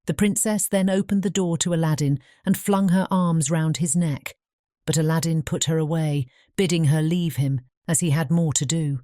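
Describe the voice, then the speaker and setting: Very low-pitched, mellow woman storyteller. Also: fairly even intonation, normal speed, dry recording, studio quality. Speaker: audiobook narrator. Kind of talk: scripted storybook narration.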